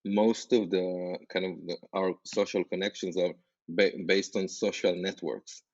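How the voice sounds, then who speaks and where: A man with an Israeli accent, a startup founder talking as a guest on a podcast, earnest and halting, with many fillers and restarts. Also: low-pitched, fairly even intonation, darkish tone, no room sound, muffled recording. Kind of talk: podcast interview.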